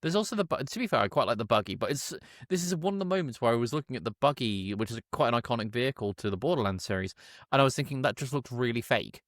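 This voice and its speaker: A man's voice: medium pitch, expressive, fast, a bit bright, no room sound, studio quality. A man hosting a casual film review chat, blunt and joking, who swears freely. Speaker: podcast host.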